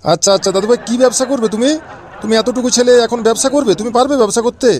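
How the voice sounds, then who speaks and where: A man's voice: very high voice, rapid-fire, darkish tone. A man voicing a doting old grandfather in a comic cartoon, indulgent and full of incredulous questions. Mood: indulgent questioning.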